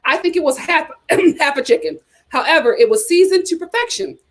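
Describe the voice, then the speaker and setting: A woman with an American accent and a high voice hosts a casual chat. Her pitch swings up and down in a lively way as she reads aloud and comments. She drops in playful, teasing asides as she goes. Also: normal speed. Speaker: host of a reality TV chat show.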